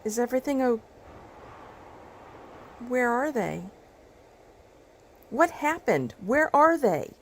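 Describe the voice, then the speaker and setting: A woman's voice: high voice, expressive, takes it slowly, pauses often. A woman playing a worried, emotional mother in a motion comic, insistent and pleading. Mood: alarmed. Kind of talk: dramatized motion comic dialogue.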